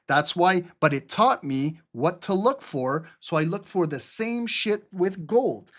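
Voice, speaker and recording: Dude rambling thru a live trading webinar, kinda high voice, lots of ums, pokes fun at himself. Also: male voice. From Albanian heritage. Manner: rambling, chatty, self-mocking, many fillers.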